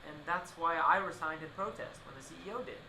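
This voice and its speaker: A man's voice: on the high side, fast, sharp tone. A man acting in a radio play as an exasperated, condescending witness to a tech disaster. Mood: candid, weary. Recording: echoey, clearly noisy location recording.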